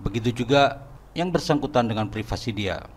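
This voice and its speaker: A man's voice: medium pitch, animated, brisk. A man narrating a horror cartoon in a scripted, dramatic storytelling manner. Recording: narration over background music.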